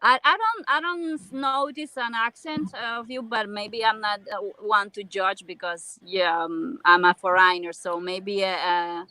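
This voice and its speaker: A woman with a mixed Russian and Cuban Spanish accent, chatting as an interview guest and often pausing to find her English words. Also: mid-range voice, bright, forceful tone, no echo, poor audio. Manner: halting, searching for English words. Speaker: guest vlogger. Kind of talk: casual interview chat.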